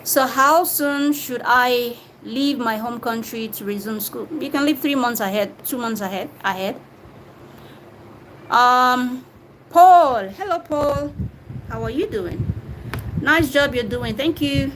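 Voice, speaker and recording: Young Nigerian woman, bright higher voice, conversational host of a live Q&A; noisy ambience behind her. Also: takes it slowly. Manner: chatty, reads viewer comments aloud and answers directly. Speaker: livestream host answering viewer questions. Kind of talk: casual live Q&A.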